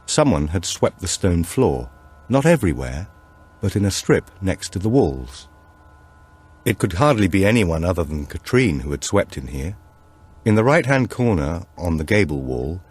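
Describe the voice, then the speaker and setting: A man with a deep voice narrates a suspense thriller audiobook. His reading is scripted and measured, with deliberate phrasing. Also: a little mellow, breathy edge.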